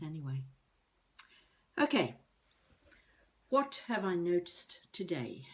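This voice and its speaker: A woman playing a feisty elderly would-be novelist, rambling wryly and haltingly through a comic monologue as a video diary. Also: fairly low pitch. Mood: wry musing. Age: older. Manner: wry, musing, halting, talking to herself.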